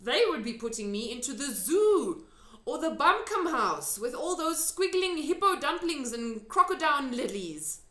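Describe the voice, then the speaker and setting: A woman's voice: high-pitched, sing-song, unhurried, sharp tone, pitched above the performer's own voice, exaggerated voice. A woman voicing a big, friendly, earnest male giant from a children's storybook, emphatic and drawn out, with muddled grammar. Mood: excited, alarmed. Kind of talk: children's storybook character reading. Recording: echoey room.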